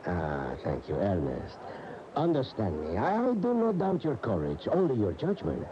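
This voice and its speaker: An actor playing a sly, courteous, teasing gentleman with a Spanish accent in a radio drama. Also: on the low side, wildly swooping pitch, a little slow. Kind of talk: 1980 radio drama acting. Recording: muffled, noisy 1980 AM radio.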